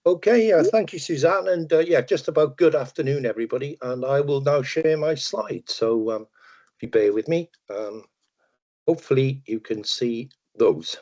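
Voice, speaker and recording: An older man with a Welsh accent giving a relaxed, joking presentation over a video call, with plenty of ums and uhs. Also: medium pitch, moderate pace. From Wales. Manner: relaxed, chatty, self-deprecating humour with many ums and uhs. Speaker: webinar presenter. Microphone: video call microphone. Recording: muffled video call audio.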